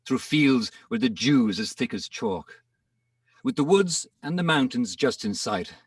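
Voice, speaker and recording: A man reads rhymed verse theatrically, with deliberate pauses. His husky voice rises and falls dramatically. The sound is roomy and set back from the microphone. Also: mid-range voice, clean studio sound. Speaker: poetry reader. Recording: roomy echo, distant microphone.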